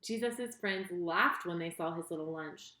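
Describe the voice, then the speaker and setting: A woman reading a children's story in an expressive, deliberate way, speaking directly to her young listeners. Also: on the low side. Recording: roomy, echoing sound.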